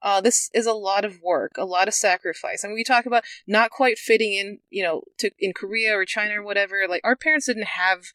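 Earnest, reflective speaker, crisp bright tone, dead-dry recording. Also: mid-range voice, brisk, hardly any pauses, no echo. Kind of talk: casual discussion.

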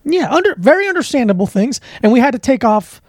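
A man's voice: extremely high pitch, big pitch swings, no room sound, studio quality. A young man co-hosting a podcast, teasing his friend playfully and cutting in with jokes. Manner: teasing, playful, quick to laugh and interject. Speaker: podcast co-host. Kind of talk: casual comedic banter.

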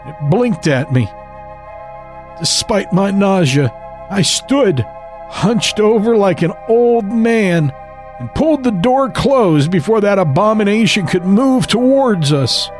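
A man's voice: sits high, lively intonation, slow pace, soft background music, voice pushed up. A man voicing a frail, rambling old grandfather who tells a horror story in the first person. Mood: eerie storytelling.

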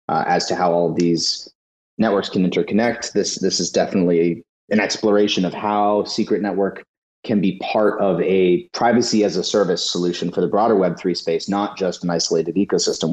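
A man hosting a live audio panel, moderating guests in a brisk, upbeat way. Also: deep voice, a little mellow, reverberant, low-quality recording. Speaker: podcast host.

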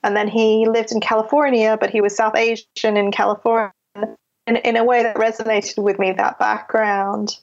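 Woman w mixed british canadian accent, bit high voice, muffled lo-fi sound, thinks out loud. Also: little pitch movement, moderate pace. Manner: thoughtful, many restarts. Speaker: writer guest. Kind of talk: interview conversation. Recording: dull lo-fi audio.

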